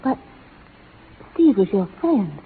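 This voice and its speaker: Husky-voiced earnest frontier schoolmistress. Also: female voice, medium pitch, big pitch swings, slow, heavily acted. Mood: distraught, accusing. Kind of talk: 1940s radio drama acting. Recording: muffled, reverberant old radio broadcast.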